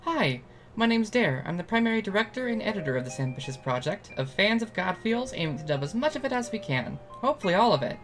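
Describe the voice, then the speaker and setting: A woman's voice: mid-range voice, very animated, fast, soft background music. A woman speaking as director and editor, delivering a scripted announcement and credits.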